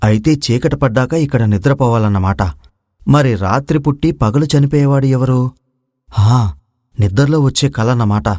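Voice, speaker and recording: A brave, quick-witted young storybook prince, voiced by a man, speaks with formal confidence. The voice is mid-pitched and recorded very dry. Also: a little mellow, dry recording, lightly acted. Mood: pondering. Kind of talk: Telugu moral story voice acting.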